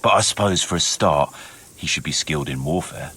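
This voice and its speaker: An actor playing a cynical, roguish mercenary captain in a video game, sardonic and swaggering, with a rough voice. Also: male voice, a bass voice, a little fast, fairly bright tone, heavily acted. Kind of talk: dramatic video game dialogue.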